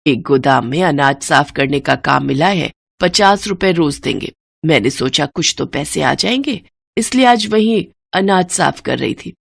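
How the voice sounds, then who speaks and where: A woman playing a humble, devout, hardworking mother of sixty, gentle and deferential, in a moral cartoon. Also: low voice, lively intonation, a little slow, gravelly, breathy edge, no room sound, heavily acted. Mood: weary, caring.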